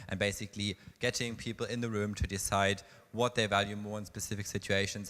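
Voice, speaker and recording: A man's voice: lowish voice, fairly bright tone. A man presenting his session's results at a conference, summarizing from his notes in a conference hall. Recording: reverberant hall recording.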